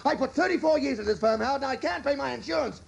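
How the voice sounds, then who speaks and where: A man's voice: very high voice, very fast, full character voice. An actor playing a weary, proud older American salesman in a stage-style radio drama, stammering and rambling with desperate emphasis. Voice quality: husky, gravelly. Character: weary ageing travelling salesman, proud, desperate, self-deluding.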